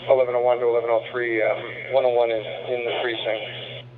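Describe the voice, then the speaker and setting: A man's voice: medium pitch, flat delivery, a little fast, slight background noise. Tinny radio voice, male police officer. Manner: procedural, matter-of-fact. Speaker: police officer directing units. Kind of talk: radio instructions to personnel.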